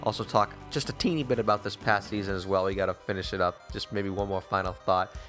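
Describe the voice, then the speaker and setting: An American man fronts a sports podcast in a forceful, bright voice. His phrasing is wordy and rhetorical, built for commentary and promo reads. Light music sits behind him. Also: deep voice, steady tone, fast talker.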